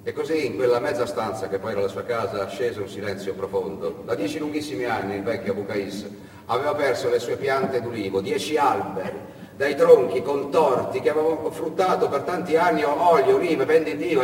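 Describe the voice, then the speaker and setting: A man narrating a story as a stage actor, dramatic and emphatic, with repetitions and pauses. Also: mid-range voice, expressive. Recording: echoey hall from far off, faint noise.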